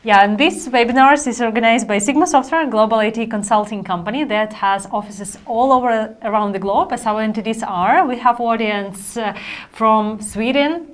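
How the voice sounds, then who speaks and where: High-voiced woman opening a webinar. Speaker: webinar co-host. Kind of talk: welcoming an online audience.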